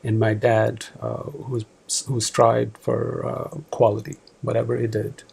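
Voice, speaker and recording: A man in his forties from Pakistan, a department manager answering interview questions in an office, halting with many fillers and restarts. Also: mid-range voice, level tone, gravelly, darkish tone, slightly rough.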